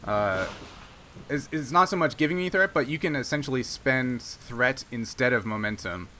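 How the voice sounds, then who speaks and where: A man's voice: medium pitch, lively intonation, normal speed, bright, forceful tone. A man acting as game master, casually narrating a tabletop role-playing game and explaining its rules.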